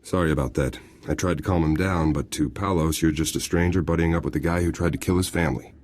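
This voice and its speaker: An actor playing a plain-spoken, loyal guard captain in a video game, talking in a friendly, respectful, informal manner. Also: male voice, extremely low pitch, fast talker, darkish tone. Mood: frank, apologetic. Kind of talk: video game cutscene acting.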